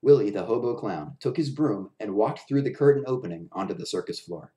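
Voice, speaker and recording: A man's voice: lowish voice, animated, a little fast, mellow. A man in his thirties reading a children's story aloud in a small room at home. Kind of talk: children's picture-book read-aloud. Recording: roomy echo of a bare room.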